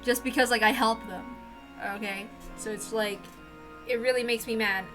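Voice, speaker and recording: A woman's voice: a little high, fairly even intonation, normal speed, over music, rough recording. A woman hosting a read-aloud series, chatting casually in an emphatic, informal way. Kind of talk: casual chat and dramatic reading of a visual novel.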